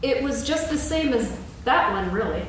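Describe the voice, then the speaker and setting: A woman playing a self-important, bustling rabbit in a staged reading of a children's story, brisk and officious. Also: high-pitched, animated. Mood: impatient. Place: on stage in a hall, recorded from afar. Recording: echoey hall, distant, faint noise.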